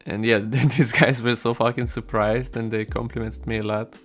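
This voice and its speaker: A man's voice: medium pitch, little pitch movement, normal speed, fairly bright tone, faint music behind. A young man whose first language is Russian, chatting casually and rambling with stream viewers while he codes, swearing now and then. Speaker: solo game developer streaming.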